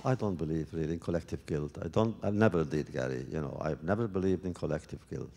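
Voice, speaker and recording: A man answering audience questions at a public talk, speaking thoughtfully and haltingly with many restarts. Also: extremely low pitch, creaky, dark tone, big-room sound, a little hiss or hum.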